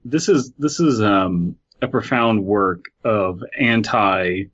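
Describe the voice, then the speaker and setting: A man with a lowish voice rambles through mock-philosophical musings in casual banter. His mic sounds a little dull and muffled. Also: slow, fairly bright tone. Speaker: podcast co-host.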